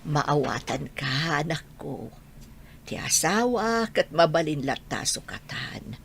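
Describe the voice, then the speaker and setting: A woman's voice: low voice, sing-song, takes it slowly, gravelly, slight background noise, heavily acted. A woman playing a sorrowful old grandmother who longs for her son, speaking with an Ilocano accent in a plaintive, sighing way, as in a radio drama. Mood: sorrowful, gentle.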